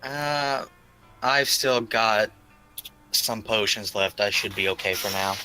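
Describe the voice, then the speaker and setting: A man with a fairly low but bright, forward voice. He talks in casual, slangy fashion as a tabletop game player, with faint music underneath. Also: takes it slowly, poor audio.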